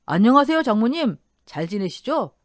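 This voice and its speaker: A polite young son-in-law in a radio drama, voiced by a woman. The speech is earnest and deferential, with lively intonation in a dry close recording. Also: fairly high pitch, normal speed, no room sound.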